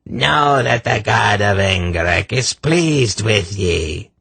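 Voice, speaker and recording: A man voicing a deranged, imperious self-proclaimed god in a shrill voice, declaiming archaic commands for a fantasy storybook. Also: lively intonation, slow, creaky, fairly bright tone, husky, dry recording, full character voice.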